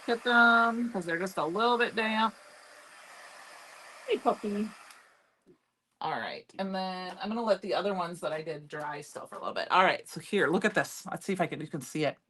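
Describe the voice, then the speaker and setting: A middle-aged woman with a loud voice and a slightly low pitch hosts a live crafting stream. She talks in a chatty, rambling way while she works and answers viewer comments. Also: normal speed, slight background noise, low-quality recording. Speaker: livestream craft host.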